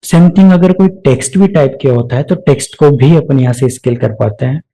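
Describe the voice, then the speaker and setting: A man with an Indian accent narrating a step-by-step software tutorial, explaining each action aloud as an instructor. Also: medium pitch, lively intonation, quick pace, low-quality recording.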